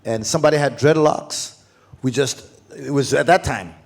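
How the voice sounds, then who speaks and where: A man who grew up in Zimbabwe, hosting a music conference panel and asking long, animated questions. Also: medium pitch, moderate pace, gravelly. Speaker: panel host. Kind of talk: panel moderation.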